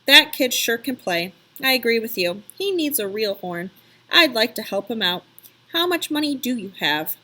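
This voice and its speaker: A woman's voice: fairly high pitch, big pitch swings, normal speed, sharp tone, exaggerated voice. A woman voicing a seasoned, kindly pawn shop man from a storybook, with an emphatic, folksy, persuasive way of talking. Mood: encouraging. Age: middle-aged or older.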